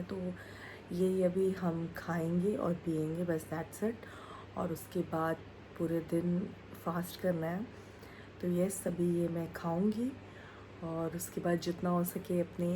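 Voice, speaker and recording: A woman's voice: fairly low pitch, level tone, slow, darkish tone, slight background noise, poor audio. Chatty young woman with a sore throat. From Bihar, India. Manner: chatty, mixes English into Hindi, many fillers. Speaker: vlogger. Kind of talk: casual vlog chat to camera.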